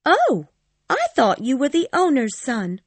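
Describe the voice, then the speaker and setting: A woman acts out a spirited young girl from a storybook. Her voice is high and swings expressively, with an imperious, indignant edge, in a dry, close recording. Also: wildly swooping pitch, a little slow, no room sound, full character voice. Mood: curious. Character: spirited, haughty young girl of a gentleman's family. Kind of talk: dramatised audiobook dialogue.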